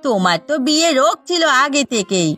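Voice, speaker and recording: A woman performs a middle-aged village wife in a cartoon. The voice sits high and the tongue is sharp, scolding and sarcastic. Light music is underneath. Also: sing-song, fairly quick. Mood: mocking. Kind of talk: cartoon dialogue.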